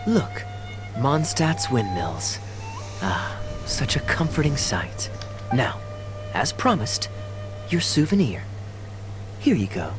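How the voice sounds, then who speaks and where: Suave, lilting young knight, music behind. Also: male voice, mid-range voice, very animated, slow pace, pauses often, low-quality recording. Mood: breezy, charming. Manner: smooth, playful, theatrically eloquent. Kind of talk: polished video game character acting. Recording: game dialogue over background music.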